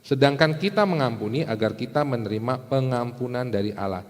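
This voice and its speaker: A man's voice: medium pitch, a little fast. Male preacher in a reverberant hall. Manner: conversational teaching with rhetorical questions. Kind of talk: sermon to a congregation. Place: large indoor church hall. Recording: echoey hall with long reverb.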